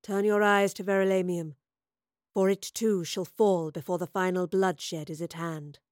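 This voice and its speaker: An ominous female soothsayer from a storybook, voiced by a woman narrator. She declares her prophecies gravely and accusingly in a husky voice, with no echo at all on the recording. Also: lowish voice, steady tone, unhurried, darkish tone, studio quality, lightly acted. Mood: grave, foreboding.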